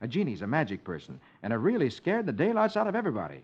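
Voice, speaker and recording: A man's voice: medium pitch, wildly swooping pitch, very fast, breathy edge. An American man hosting a children's radio show, reading the funnies aloud in a theatrical, articulate 1950s storytelling style. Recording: muffled old radio recording, music behind.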